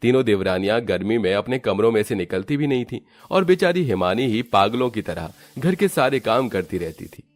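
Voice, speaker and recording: Male narrator, lower register, scripted storybook delivery for cartoon shorts. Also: lively intonation, brisk, hardly any pauses.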